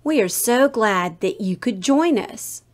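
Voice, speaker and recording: A woman's voice: on the high side, animated, slow pace. A woman giving a scripted welcome as the host of a story time.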